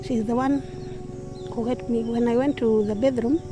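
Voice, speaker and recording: A young woman, telling her own story in a fairly high voice. She talks haltingly and often restarts mid-sentence. Clear music plays behind her. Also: fairly even intonation. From Kenya. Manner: halting, with restarts and self-corrections. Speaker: interviewee. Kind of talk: personal testimony. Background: background music.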